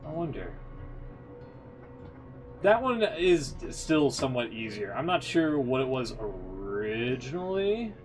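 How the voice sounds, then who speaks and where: A man's voice: mid-range voice, very animated, slow. A man giving casual livestream game commentary, thinking aloud in an informal way with muttered asides. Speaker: livestream host, gamer. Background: background music.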